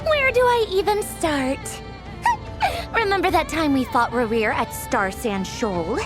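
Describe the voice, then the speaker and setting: An actress playing a brash, sarcastic female official in a video game, with a theatrical, expressive delivery. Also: high-pitched, slow, over music, heavily acted. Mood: bitter, sarcastic.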